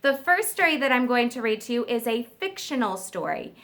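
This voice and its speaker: A woman teacher reading a story aloud to young children, expressive and pausing to explain and ask them questions. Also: a little high. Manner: expressive, asks listeners questions. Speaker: kindergarten teacher.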